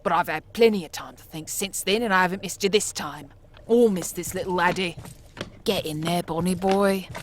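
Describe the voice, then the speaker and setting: A woman acts out an ancient, eccentric street crone in a comic dub. Her voice sits on the low side as she rambles, coaxes and threatens with quaint endearments. The track has clear background noise. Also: normal speed, natural voice. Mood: bargaining. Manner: rambling, cajoling, threatening with old-fashioned endearments. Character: eccentric, menacing, ancient female street crone, 250 years old. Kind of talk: comic dub character acting.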